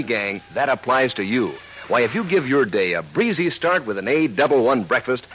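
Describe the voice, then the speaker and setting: Lively, chummy 1940s radio pitchman. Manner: chummy, breezy, folksy salesman. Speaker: cereal advertisement pitchman. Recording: muffled, noisy old radio recording.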